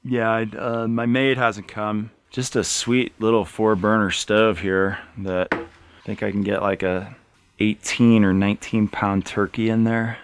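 Smooth, low-voiced middle-aged man. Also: little pitch movement, unhurried. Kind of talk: casual show-and-tell. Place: small cluttered wooden cabin.

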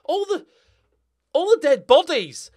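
A man in his forties chatting casually and humorously to viewers as a channel host, animated and jokey. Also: very high-pitched, a little slow. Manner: animated, jokey, sweary, chuckling. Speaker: YouTube gaming channel host. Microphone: desk condenser microphone up close.

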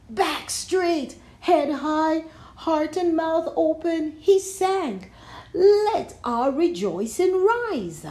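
A woman reads a picture book aloud to children in a fairly high, mellow voice with very lively intonation. She takes her time, leaning on key phrases for dramatic effect. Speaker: storybook reader. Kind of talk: expressive children's read-aloud narration.

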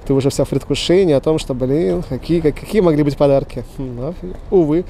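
Male street quiz host, thirties, fairly high relaxed voice, comic mock-serious delivery, slangy, outdoor handheld mic. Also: a little fast, a little hiss or hum. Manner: joking, mock-serious, rambling, crude slang. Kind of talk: casual comic street interview. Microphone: handheld reporter microphone.

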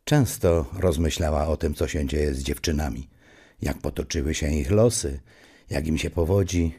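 A man's voice: rumbling low, normal speed, warm tone, slightly rough. A man narrating an audio drama as a storyteller with dry irony. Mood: dry storytelling.

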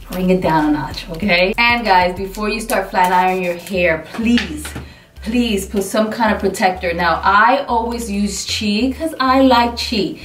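Animated forty-something woman chatting to camera; roomy, somewhat distant studio sound. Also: lowish voice, slight background noise. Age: 40s. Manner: chatty and animated, swears mildly, hands moving. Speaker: beauty vlogger. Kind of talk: casual product review to camera. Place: indoor studio, dark backdrop. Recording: roomy, a bit far from mic.

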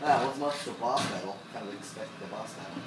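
A man's voice: lowish voice, lively intonation, fast, loud music behind the voice. A young man joking his way through a video game, goofy and jumpy, mocking what happens on screen. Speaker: gameplay commentator. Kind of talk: joking let's-play commentary. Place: room filming a TV screen. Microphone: camera pointed at a TV. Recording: roomy, distant phone recording with loud game noise.

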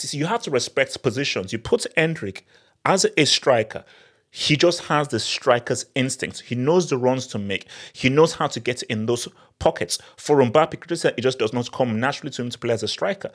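A man in his thirties talks straight into a studio mic on a boom arm, breaking down a match as a football channel host. His pitch leaps up for emphasis and he keeps hammering points home by repeating words. It sounds like a small home studio. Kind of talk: post-match analysis monologue.

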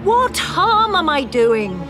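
Very high, excitable woman over music. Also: slow pace, heavily acted. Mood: blithe. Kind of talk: audio drama acting. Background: dramatic music.

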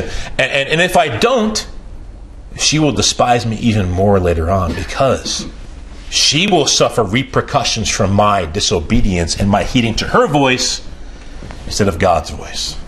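Emphatic bearded preacher man, lively pitch. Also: slight background noise. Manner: emphatic, repeats key phrases, pitch rises and falls sharply. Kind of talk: sermon. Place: small indoor room.

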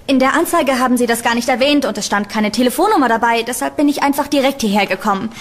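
A woman's voice: fairly high pitch, quick pace, lightly acted. A woman playing a polite, earnest young student in an audio drama. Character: polite, slightly timid young female student.

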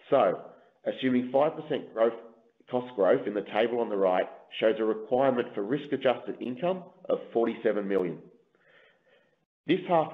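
Deep-voiced man on a phone-like line. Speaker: company executive presenting. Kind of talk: scripted investor presentation. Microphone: conference call line. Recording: thin phone-like conference call line.

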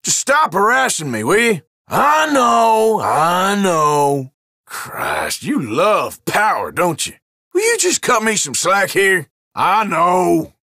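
An actor playing an irritable, wary Old West outlaw in a video game, with a rural American drawl and a folksy, sardonic, defensive manner. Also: male voice, sits high, wildly swooping pitch, slow, bright, forceful tone, no room sound, studio quality, full character voice. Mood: exasperated. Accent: American, rural Western drawl. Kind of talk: game voice lines, short barks.

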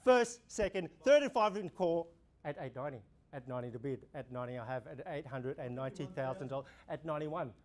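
A young man with an Australian accent, an auctioneer running a practice auction, calling bids in a steady repeating rhythm. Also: medium pitch, fast talker. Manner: rhythmic, repetitive bid calling, gestures while talking. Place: indoor room before a projection screen.

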